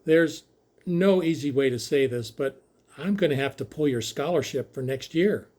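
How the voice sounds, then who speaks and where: A man playing a sympathetic, even-keeled coach who delivers hard news patiently in an audio drama read. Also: fairly high pitch, lightly acted. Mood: sympathetic, regretful. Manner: patient, measured, apologetic.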